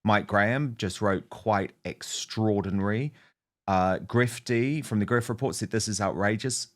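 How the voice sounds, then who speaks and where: A man's voice: fairly low pitch, a little slow. A man hosting an online talk show, giving emphatic, indignant commentary and reading out messages.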